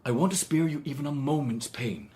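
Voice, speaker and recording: An actor playing an evasive Victorian bridegroom in a radio drama, theatrical and dismissive, with brittle forced lightness and a British accent. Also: male voice, on the high side, a little fast, mellow, lightly acted. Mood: exasperated, soothing.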